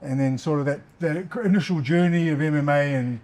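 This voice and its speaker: Upbeat podcast host. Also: male voice, a little high, fairly quick, a little mellow. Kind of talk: podcast intro and interviewing.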